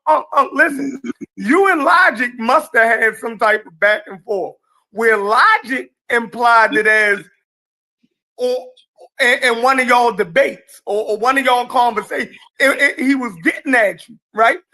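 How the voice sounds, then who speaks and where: A man's voice: very high-pitched, measured pace, pushed and bright, poor audio. A man in a heated group conversation who keeps cutting in to explain his point and insists on being heard.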